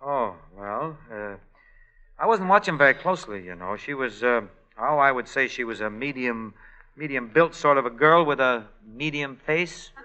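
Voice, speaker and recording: A man's voice: medium pitch, expressive, bright, forceful tone. A man playing a joking song-and-dance man in a 1940s radio play, on a dull old broadcast recording. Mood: breezy. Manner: breezy, joking, quick patter. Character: wisecracking male song-and-dance man Jim. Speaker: actor in radio play, also narrator.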